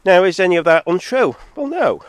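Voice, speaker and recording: A man's voice: sing-song, fairly quick, no room sound. Sardonic man with a head cold, clipped audio. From Zambia-born, living in England. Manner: argumentative, sardonic, wandering asides. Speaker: solo video maker. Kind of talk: rambling outdoor vlog monologue. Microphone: phone or camera, recorded too hot. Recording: distorted, clipping from high recording level.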